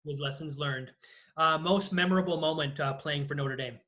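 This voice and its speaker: Man, fairly high voice, interview host, casual questioning, video call. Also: normal speed, sharp tone.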